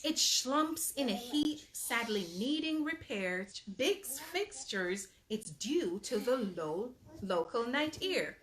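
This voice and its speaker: High-pitched, animated woman reading aloud. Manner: expressive, emphatic storybook delivery. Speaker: host reading children's books aloud. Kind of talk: read-aloud bedtime story with asides to children.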